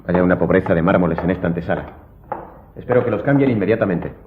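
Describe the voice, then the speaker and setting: Man playing an imperious, deep-voiced, quick-talking count. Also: background noise, lightly acted. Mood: brisk command. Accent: Spain. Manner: curt, commanding, formal. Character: imperious wealthy count, commanding, coolly secretive. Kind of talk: radio drama acting. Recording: muffled audio, faint music behind.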